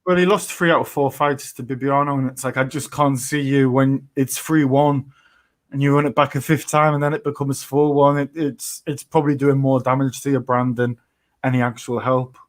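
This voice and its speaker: A man's voice: medium pitch, little pitch movement, normal speed. A man co-hosting a post-fight podcast, chatting casually and hedging his views with repeated phrases. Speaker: podcast co-host.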